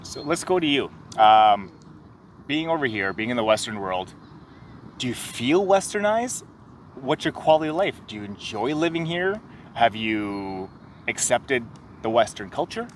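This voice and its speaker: A man interviewing a guest in a relaxed, encouraging way, asking many questions. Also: medium pitch, a little slow, a little hiss or hum. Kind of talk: casual interview.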